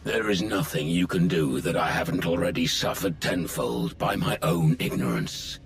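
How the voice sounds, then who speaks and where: A menacing sith lord villain, deep gravelly voice, big brooding game speeches. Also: male voice, slight background noise. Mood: defiant, grandiose. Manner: grandiose, slow, menacing oratory. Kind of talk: dramatic video game voice acting.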